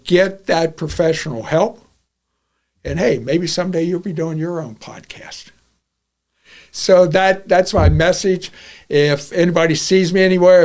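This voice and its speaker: A man in his seventies hosting a podcast, talking candidly and bluntly, often repeating himself as he goes. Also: a little high. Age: 70s. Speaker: podcast host. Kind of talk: casual podcast monologue.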